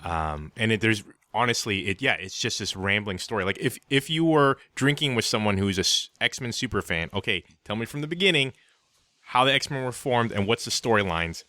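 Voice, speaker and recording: Male co-host for a book chat podcast, lowish forceful voice, conversational, very dry recording. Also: expressive, normal speed, no echo. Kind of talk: casual book club discussion.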